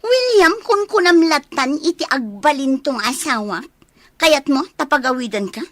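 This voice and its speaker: A woman's voice: high voice, lively intonation, moderate pace, no echo. A woman acting as a suspicious, nosy schemer in a radio comedy drama, questioning and finding fault. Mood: suspicious, probing.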